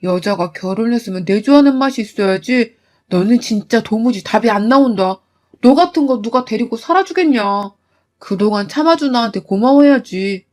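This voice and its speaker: A woman's voice: on the high side, animated, moderate pace, darkish tone, close to an everyday voice. Woman doing a bossy jerk husband, contemptuous, barking orders. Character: arrogant bullying husband, a grown man.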